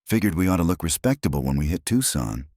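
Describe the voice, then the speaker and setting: A man voicing a gruff, steady middle-aged frontier marshal in a measured, low drawl. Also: no room sound, studio quality, full character voice.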